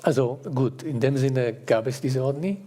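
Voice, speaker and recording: Man, panel guest, husky voice, hesitant German with slips. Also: medium pitch, moderate pace, warm tone. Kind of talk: panel discussion.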